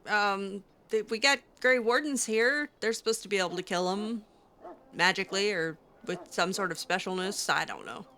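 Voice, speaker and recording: A woman doing casual in-character roleplay over a video game. Her mid-range voice is bright and swings expressively, with halting, improvised reactions. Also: mid-range voice, animated, a little hiss or hum. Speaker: gamer playing in character.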